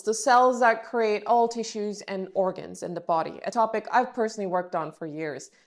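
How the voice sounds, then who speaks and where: Measured woman explaining science. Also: mid-range voice. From Turkey. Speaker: podcast host, biomedical scientist. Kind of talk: scripted explainer narration.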